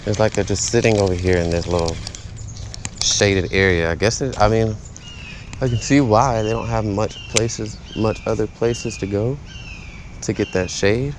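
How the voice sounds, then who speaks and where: A man's voice: lowish voice, moderate pace, noisy background. A man chatting casually to himself as a fishing vlogger, informal and repeating his phrases, recorded outdoors on a body-worn action camera. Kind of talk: casual solo vlog chat while fishing. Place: outdoors in a backyard beside a small pond.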